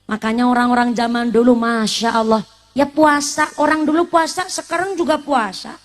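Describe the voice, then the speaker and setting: High-voiced, lively woman preacher. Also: normal speed. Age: late 20s. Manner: lively, teasing, asks the audience questions, mixes in Javanese and Sundanese words. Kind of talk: humorous Islamic sermon to a live congregation.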